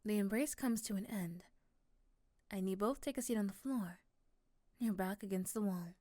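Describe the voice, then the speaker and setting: A woman's voice: mid-range voice, normal speed, dark tone, no echo, studio quality. A woman reading scripted second-person narration for an ASMR roleplay story.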